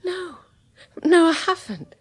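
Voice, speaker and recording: An actress with a British accent playing a brittle, anxious young wife in a radio horror drama, intense and changeable. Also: female voice, extremely high pitch, animated, takes it slowly, full character voice. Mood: pleading, tearful.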